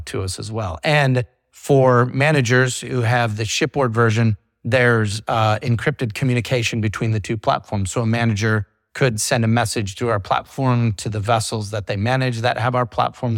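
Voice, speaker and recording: A man's voice: lowish voice, dry recording, clean studio sound. Chatty middle-aged man explaining. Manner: chatty, explains at length with many "you know" fillers; quotes clients in an acted voice. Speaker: company CEO guest. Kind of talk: casual business interview.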